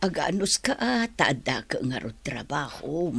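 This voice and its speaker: A husky, creaky, ailing old mother. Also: sits low, big pitch swings, unhurried, a bit bright, no echo, heavily acted. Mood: plaintive. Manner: plaintive. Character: ailing, tearful old mother longing for her child. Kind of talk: Ilocano radio drama acting.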